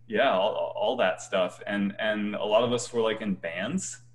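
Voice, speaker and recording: A man's voice: fairly low pitch, unhurried. A young man with a light voice, a choir singer talking casually and animatedly on a video call from a small room, often restarting his sentences and laughing. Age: young adult. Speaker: choir bass singer, guest. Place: small bright room at home.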